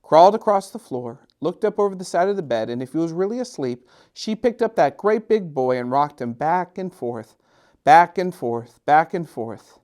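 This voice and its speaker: A middle-aged man reads a picture book to children expressively, drawing out repeated phrases with gentle, dramatic emphasis. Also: a little high, expressive. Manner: gentle, drawn-out, sing-song repetitions with dramatic emphasis. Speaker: storytime host and children's author.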